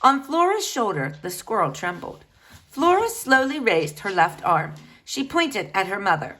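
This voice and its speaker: A woman reading a children's novel aloud as expressive storytelling narration. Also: medium pitch, a little slow, low-quality recording. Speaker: storybook reader.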